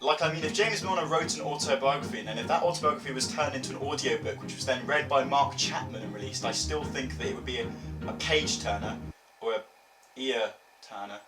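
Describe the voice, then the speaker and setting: A man's voice: medium pitch, a little fast. A British man in his early twenties giving a chatty opinion vlog in a wry, mock-dramatic, self-deprecating way. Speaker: vlogger and radio presenter. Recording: roomy, distant mic with music behind.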